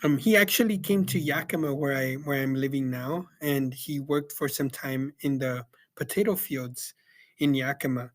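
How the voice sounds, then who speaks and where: A young man from El Salvador giving a casual spoken book review, pausing often with ums and restarting his sentences. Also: medium pitch. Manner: hesitant, many ums and restarts. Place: Yakima, Washington.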